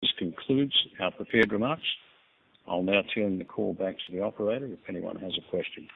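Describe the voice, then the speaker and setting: Husky exec guy, lowish voice, formal earnings call talk, sounds like over the phone. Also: a little fast. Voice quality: gravelly, husky. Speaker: company chief executive. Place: conference call. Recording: narrow phone-line sound.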